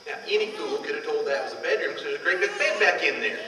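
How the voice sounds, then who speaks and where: A man performing a folksy, countrified old-time comedy monologue, telling stories with drawn-out setups in homespun phrasing. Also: fairly high pitch, crowd noise. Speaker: amateur comedian. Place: large hall in front of a live audience. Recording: echoey hall from far off, noisy.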